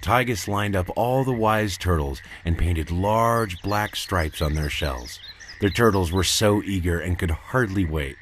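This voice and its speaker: A man's voice: low voice, slight background noise. A man reading a children's story as a scripted storybook narrator.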